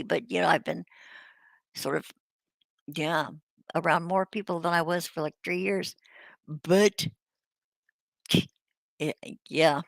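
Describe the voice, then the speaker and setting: A woman's voice: lowish voice, creaky, no room sound. A woman hosting a casual livestream chat, rambling, laughing and swearing freely while she loses her voice. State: losing her voice. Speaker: host.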